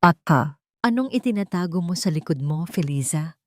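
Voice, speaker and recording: A woman's voice: fairly low pitch, expressive, moderate pace, no echo, studio quality, natural voice. A woman with a Filipino accent voicing a cold, scornful, taunting villainess in an audiobook melodrama. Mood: accusing, scornful. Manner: taunting, accusing.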